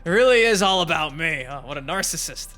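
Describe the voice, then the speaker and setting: A man hosts a gaming news video in a forceful, bright voice that sits a little high. His delivery is informal and chatty, and he leans on words for emphasis. Music plays softly behind him. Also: expressive, a little fast. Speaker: YouTube gaming news host. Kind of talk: casual gaming news commentary. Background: background music.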